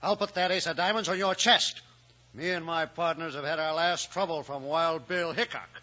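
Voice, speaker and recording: A man's voice: a little high, normal speed, bright, forceful tone. An actor playing a sly, surly outlaw gunman in an old radio western, with a menacing drawl. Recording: muffled old radio recording.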